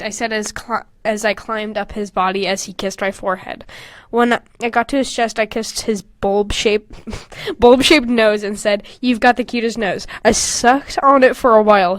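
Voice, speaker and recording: A woman's voice: high voice, no room sound, clean studio sound. A woman podcast host reading her own fanfiction aloud, breaking off into candid, self-mocking, casual asides with plenty of swearing.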